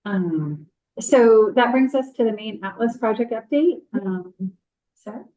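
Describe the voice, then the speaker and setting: A woman chairing a commission meeting, calling votes and moving briskly through the agenda. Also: fairly high pitch, mellow, poor audio. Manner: procedural, brisk.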